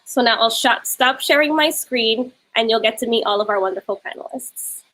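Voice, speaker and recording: A woman from New York City presenting slides and moderating a panel on a video call in a clear, steady way. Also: a little high, moderate pace, low-quality recording.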